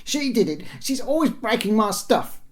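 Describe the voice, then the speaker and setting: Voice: mid-range voice, big pitch swings, moderate pace, voice pushed up, natural voice. A storyteller voicing a petulant nine-year-old boy in a storybook, whiny and indignant.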